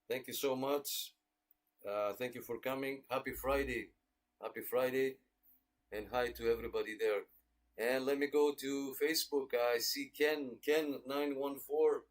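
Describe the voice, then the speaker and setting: A man hosting a casual livestreamed radio club roll call, greeting and thanking listeners in a friendly way. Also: mid-range voice. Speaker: host of a radio club check-in net.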